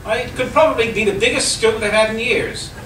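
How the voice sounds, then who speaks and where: A man playing a sly newspaperman with polite menace in a live comedy radio play, measured and insinuating. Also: very high voice, bright, forceful tone. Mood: smug. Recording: echoey distant live recording, faint noise.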